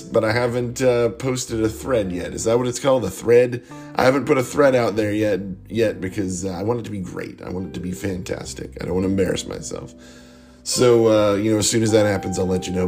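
Middle-aged male podcast host, husky from strain, lower register, jokey and self-deprecating. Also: darkish tone. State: losing his voice. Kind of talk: casual rambling podcast outro.

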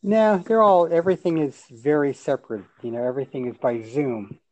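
A man raised with Yiddish and Hebrew, chatting casually in a plain, repetitive, low-key way. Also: a little fast, darkish tone, lo-fi sound. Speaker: podcast co-host. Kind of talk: casual chat.

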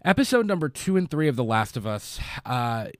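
Congested young dude rambling about movies, mid-pitch voice, dead dry sound. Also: male voice, sing-song, brisk, no room sound. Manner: rambling, repeats words, many fillers. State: congested, stuffed up. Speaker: podcast host. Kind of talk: casual live podcast review. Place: basement.

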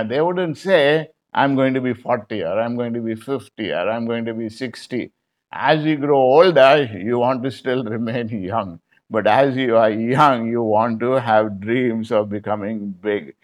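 A man giving an evening Bible study sermon, talking conversationally with many fillers and repeating his key lines for emphasis. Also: medium pitch. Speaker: preacher.

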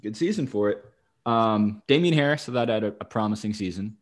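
A man with a fairly low voice hosts a casual sports talk show. He chats in a wry, dry way and swears freely as he gives his commentary. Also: lively intonation, fairly quick. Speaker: sports podcast host.

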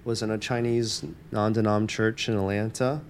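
A man's voice: fairly low pitch, level tone, moderate pace, a little hiss or hum. A man born in Taiwan, a campus minister, talking reflectively in an interview with many fillers and restarts.

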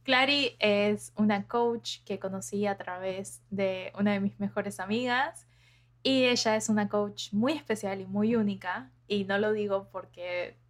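A woman's voice: medium pitch, measured pace. A woman hosts a podcast conversationally, sounding effusive and enthusiastic. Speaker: podcast host and interviewer.

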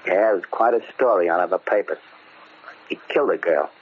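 An actor playing a brusque, slangy crook hiding from an enemy, talking informal tough talk in old radio horror style. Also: male voice, low-pitched, fairly quick, husky. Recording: tinny, noisy old radio recording.

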